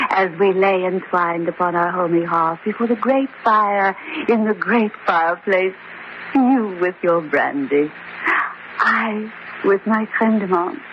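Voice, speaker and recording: A woman's voice: mid-range voice, lightly acted. An actress playing a coquettish, anxious and devoted lady companion in an arch, theatrical comic horror radio drama style. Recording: muffled radio recording with faint noise.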